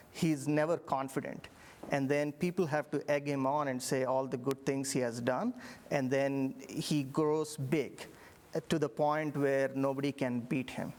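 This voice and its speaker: A middle-aged man from India with an Indian accent, a professor recounting his path in a relaxed interview, reflective, with many fillers and restarts. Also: mid-range voice. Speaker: engineering professor. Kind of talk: interview storytelling.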